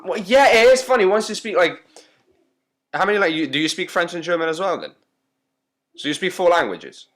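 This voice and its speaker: A man's voice: high voice, very animated, a little fast, long pauses, fairly bright tone. A man hosting a podcast, chatting casually and joking, with long rambling questions. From Hungary. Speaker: podcast host. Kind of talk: casual interview chat.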